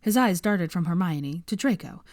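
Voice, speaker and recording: Woman storyteller, lowish pitch, animated intonation, dry close sound, scripted fiction narration. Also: normal speed, no room sound. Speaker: audiobook narrator.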